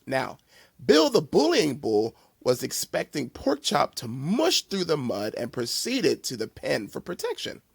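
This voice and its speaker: A man reading a children's storybook aloud in a deliberate, theatrical way for young listeners. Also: a little high, wildly swooping pitch, vocal fry, husky, dry recording, studio quality. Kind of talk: animated storybook narration.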